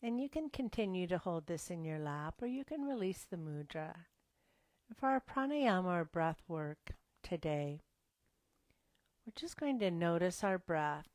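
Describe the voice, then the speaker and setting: Woman yoga instructor, gentle, soft, half-whispered cues, very slow. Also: on the low side, lively intonation, no room sound. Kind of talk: guided yoga and breathing class.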